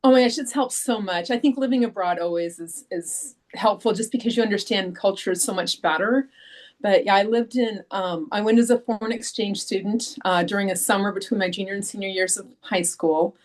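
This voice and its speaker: A woman's voice: medium pitch, brisk. A middle-aged woman guest on an interview podcast, chatting casually with frequent ums and restarts and laughing easily. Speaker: author guest.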